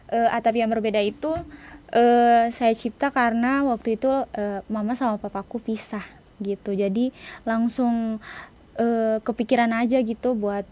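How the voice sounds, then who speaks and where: A woman's voice: on the high side, fairly even intonation, normal speed, warm tone. A young woman, answering casually as an interview guest, informal and searching for her words. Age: young adult. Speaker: interview guest, student writer.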